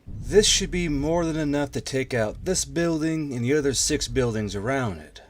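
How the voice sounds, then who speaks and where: A man's voice: medium pitch, lively intonation, normal speed, slight background noise, natural voice. A man voicing a timid male elf who pretends to be female, in a story reading. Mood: earnest. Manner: careful, timid, feigning femininity. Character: nervous young male elf sapper posing as female.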